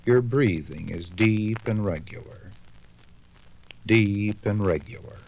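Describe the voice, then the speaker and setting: A man giving a hypnotic induction, repeating slow insistent suggestions, heard on a dull lo-fi vinyl record. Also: lowish voice, vocal fry, darkish tone, a little hiss or hum.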